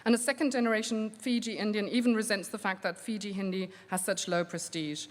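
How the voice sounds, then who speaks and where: A woman researcher giving an academic conference lecture, measured and steady, with frequent um fillers. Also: mid-range voice. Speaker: linguistics researcher giving a plenary talk. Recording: long hall reverb.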